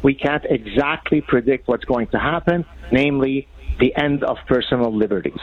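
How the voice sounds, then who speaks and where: A man's voice: medium pitch, moderate pace. A man from Lebanon, an Arabic speaker, making pointed, emphatic arguments as a radio interview guest. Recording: tinny phone line.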